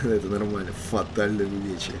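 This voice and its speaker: A man's voice: fairly low pitch, flat delivery, brisk, slight background noise. A man with a bad cold hosting a casual let's play in a jokey, slangy way. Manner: jokey, playful, loose slangy talk. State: sick with a cold, coughing. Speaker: gaming video host. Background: game music and tank engine sounds.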